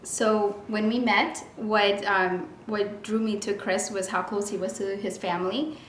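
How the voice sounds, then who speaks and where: A woman from Ethiopia, raised in Rome, sharing her story in a church testimony video in a quiet, reserved and gentle way. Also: medium pitch, steady tone, unhurried, a little hiss or hum. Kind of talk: personal testimony, interview-style. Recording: echoey room recording.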